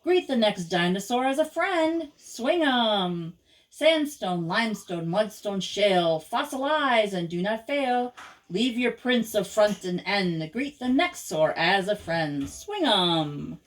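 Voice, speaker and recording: An older woman reading children's rhyming verse aloud as a grandmother would at storytime, with a measured, rhythmic delivery. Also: medium pitch, sing-song.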